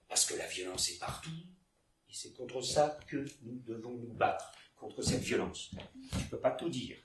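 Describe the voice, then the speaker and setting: A man playing a volatile, domineering theatre director in a theatrical, hectoring, repetitive way, swinging between hushed intensity and shouting. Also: medium pitch, close to an everyday voice. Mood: hushed, intense. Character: domineering, volatile male theatre director berating his actress. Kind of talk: theatrical stage acting. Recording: roomy, distant mic with background noise.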